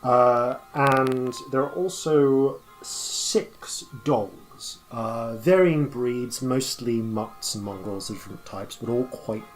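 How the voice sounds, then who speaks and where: A man running a tabletop game as game master, describing scenes off the cuff and haltingly as he thinks aloud. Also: medium pitch, faint music behind, low-quality recording. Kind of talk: improvised narration of a tabletop game.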